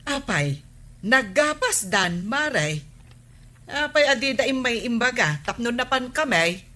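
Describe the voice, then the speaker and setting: A woman's voice: fairly high pitch, expressive, unhurried, sharp tone, heavily acted. An actress playing an indignant, questioning village woman in an Ilocano radio comedy drama, speaking with an Ilocano accent.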